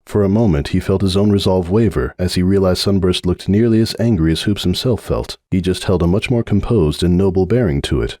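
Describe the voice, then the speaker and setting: A man giving a dramatic reading of a fan story, narrating in a measured, brooding way. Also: low-pitched, dark tone, no echo, clean studio sound. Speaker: audiobook narrator.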